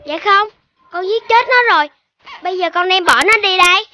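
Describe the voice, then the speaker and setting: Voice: extremely high pitch, normal speed, pushed and bright, slight background noise, heavily acted. A performer voicing an eager, cheeky little puppy boy in a cartoon, childlike and exclamatory. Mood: earnest. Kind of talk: cartoon voice acting.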